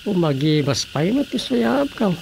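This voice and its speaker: A man's voice: sits high, very animated, moderate pace, creaky, slight background noise, exaggerated voice. An actor with an Ilocano accent playing a preoccupied man muttering to himself in a radio drama.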